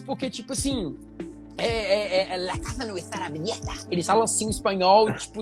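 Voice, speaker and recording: A man from Minas Gerais, Brazil, hosting a casual chat in a lively, rambling way with many fillers. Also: high-pitched. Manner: chatty, animated, many fillers. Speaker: show host. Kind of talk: casual conversation.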